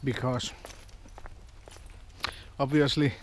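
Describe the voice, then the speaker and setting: Man, thirties, slow rambling outdoor vlog, camera at arm's length, faint outdoor ambience. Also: mid-range voice, fairly even intonation, a little hiss or hum. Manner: rambling, wry, unhurried musing with short fragments. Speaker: van life vlogger. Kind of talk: casual walking vlog. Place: outdoors in a quiet village park and street. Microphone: camera held at arm's length.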